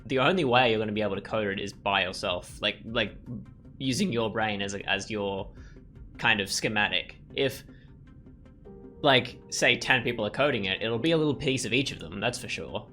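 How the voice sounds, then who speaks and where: A man's voice: fairly low pitch, normal speed, pushed and bright. A man giving casual let's play commentary, thinking aloud in a rambling, musing way. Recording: faint game music behind.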